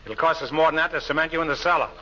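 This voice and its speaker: A man's voice: on the high side, racing, natural voice. An actor playing a brash, glib insurance salesman and comic schemer in 1940s radio comedy style. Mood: pushy, glib. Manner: glib, wisecracking patter. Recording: noisy, muffled old radio recording.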